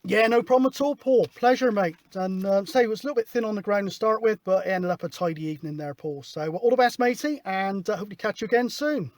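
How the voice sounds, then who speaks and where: High-pitched man on CB radio. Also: quick pace, few pauses, no echo. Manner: informal, matey. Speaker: CB radio operator, host. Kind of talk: casual radio chat. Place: outdoors at a hilltop spot, mobile radio setup.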